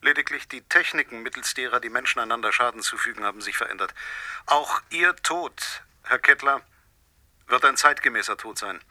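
Threatening male stranger, radio drama. Composed, measured, preachy, piercingly bright tone, dry close recording. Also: medium pitch, no echo. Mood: quietly menacing. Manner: measured, formal, moralising, coolly menacing.

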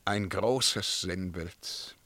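Sharp-voiced, brooding emperor, slowly declaiming. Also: male voice, low voice, no echo, exaggerated voice. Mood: imperious, solemn. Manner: declamatory, rhetorical, swelling from hushed to commanding. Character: brooding Emperor of Byzantium, imperious, tormented, self-doubting. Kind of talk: 1950s German radio verse drama acting. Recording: dry 1950s radio studio recording.

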